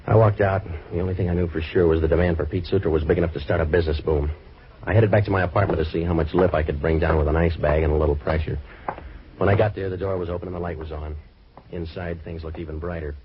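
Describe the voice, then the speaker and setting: An actor playing a wisecracking, sardonic private detective with an American accent in an old-time radio drama, in clipped slangy lines. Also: male voice, sits low, steady tone, fast, close to an everyday voice. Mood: wry narration. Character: wisecracking hard-boiled male private detective. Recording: muffled old radio recording with hiss.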